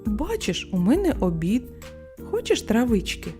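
A woman's voice: a little high, huge pitch swings, measured pace, dark tone, faint music behind. A woman voicing a friendly, easygoing male hare in a children's fairy tale. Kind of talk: storybook character voice.

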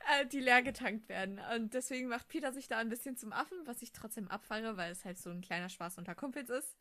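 A woman's voice: a little high, fast talker, sharp tone. A woman co-hosting a podcast, recapping and chatting in a casual way. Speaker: podcast co-host.

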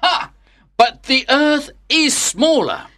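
An actor playing a sceptical, smugly amused English club gentleman who goads theatrically in a dramatized audiobook. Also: extremely high pitch, sing-song, full character voice. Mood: scoffing, boastful. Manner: mocking, drawn-out, theatrical.

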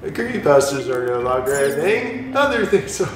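A man's voice: a little high, big pitch swings, normal speed. A young man co-hosting a let's play, joking casually over the game. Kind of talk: joking gameplay chat, reading dialogue aloud. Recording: very echoey, distant mic, loud game music.

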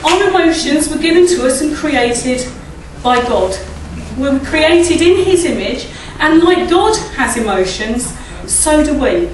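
Croaky high-voiced woman in an echoey hall. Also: expressive, measured pace, few pauses, slight background noise. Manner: chatty, asks the audience tag questions and laughs often. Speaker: church speaker. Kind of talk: informal talk at a church service. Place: church hall, indoors. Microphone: standing mic at a lectern, filmed by a far camera. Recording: echoey hall, recorded from a distance.

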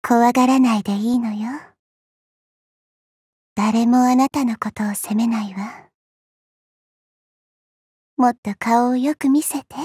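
Game goddess, small and youthful: high, breathy, unhurried, coy, elegant, haughty tease. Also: female voice, no echo, studio quality, exaggerated voice. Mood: gently commanding. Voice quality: soft, breathy. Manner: elegant, coy, slow, refined ladylike speech. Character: petite, teasing, haughty young-looking immortal goddess. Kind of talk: Japanese mobile game character voice lines.

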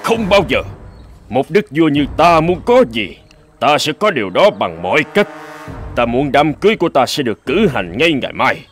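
A man's voice: fairly high pitch, sing-song, moderate pace, a little hiss or hum. A man voicing an imperious foreign king who threatens a captive princess in a cartoon fairy tale. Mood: threatening. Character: domineering foreign king, haughty suitor.

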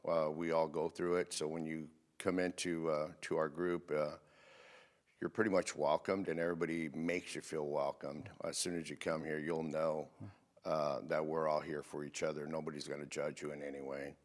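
A middle-aged man giving casual, plainspoken testimony, halting with many filled pauses, into a handheld microphone. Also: extremely low pitch, flat delivery. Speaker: recovery group leader giving testimony. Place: indoors, dim church stage.

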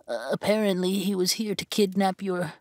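A woman's voice: medium pitch, moderate pace, a little mellow, no echo, close to an everyday voice. A woman playing a timid, deferential servant in an audio roleplay, hesitant and apologetic. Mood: nervous, submissive.

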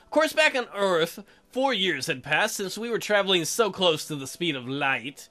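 A man's voice: sits high, big pitch swings, moderate pace, sharp tone. A man hosting a let's play, reading game text aloud and adding joking, irreverent commentary.

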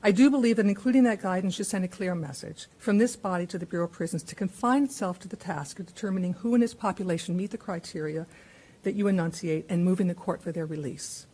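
Husky voice, mid pitch, earnest, formal testimony, hearing room. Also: fast talker, mellow, low-quality recording. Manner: earnest, reading a case account with small restarts. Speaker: advocacy group witness.

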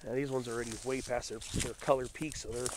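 A man getting over a head cold, his voice deeper and raspier than usual, casually naming plants as he walks through a mountain forest before dawn. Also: quick pace. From Southern California. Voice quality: raspy. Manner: musing, relaxed botanical naming. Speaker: nature vlogger. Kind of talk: casual walking commentary. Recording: outdoor camera audio with background noise.

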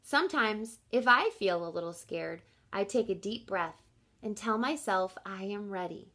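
A woman voicing a caring, encouraging father in a children's storybook, with a gentle, reassuring manner. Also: medium pitch, expressive, a little slow.

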